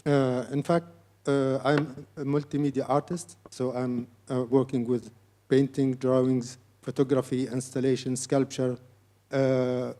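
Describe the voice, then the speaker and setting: Panel artist, man, halting non-native English, mellow warm voice. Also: mid-range voice. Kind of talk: panel talk.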